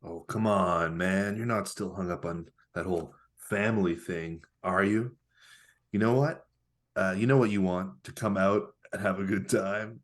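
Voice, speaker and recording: A man acts out a pick-up artist in a comedy table read. He is on the low side, laid-back and cajoling, always pushing for a wild night out. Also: fairly even intonation, measured pace. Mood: cajoling, teasing. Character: smooth party-loving male pick-up artist, pushy tempter. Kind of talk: live table read of a movie script.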